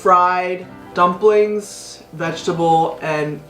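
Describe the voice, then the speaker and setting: Female vlog host, low voice, relaxed, explains dishes; roomy echo, soft music under. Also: extremely slow. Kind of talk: casual chat over food. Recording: echoey room with faint music.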